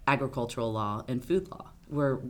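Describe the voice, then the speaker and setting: A woman attorney from Arkansas, answering interview questions in a loud, outgoing, talkative way. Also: rumbling low, lively intonation, moderate pace. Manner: loud, big personality, talkative. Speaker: attorney guest.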